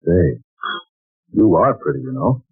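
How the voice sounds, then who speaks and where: A man's voice: on the low side, animated, a little slow, creaky, slightly rough, soft background music, exaggerated voice. An actor playing a generous, wisecracking young man with folksy slang in a 1940s radio drama, on a tinny old broadcast recording. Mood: easygoing. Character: breezy, kindly young man, broke but generous.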